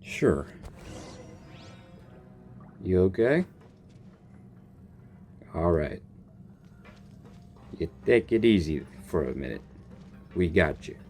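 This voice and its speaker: A man's voice: low voice, animated, drawn-out words, long pauses, a little mellow. A man doing casual game reaction commentary, talking to himself expressively as he plays. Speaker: gaming video host. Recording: game music behind voice.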